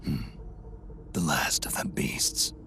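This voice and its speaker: An actor playing a gruff, weary old soldier in a video game, with a gravelly growl and terse delivery. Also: male voice, whispered. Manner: terse, slow. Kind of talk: video game cutscene acting. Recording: loud music behind.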